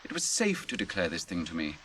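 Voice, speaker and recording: An actor in a radio drama playing a noble, grave captain, proud yet merciful, with formal, archaic, theatrical delivery. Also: male voice, sits low, huge pitch swings, a little fast, bright, forceful tone, exaggerated voice, low-quality recording. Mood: gentle, solemn. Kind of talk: 1980s radio drama acting. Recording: radio drama with clear background noise.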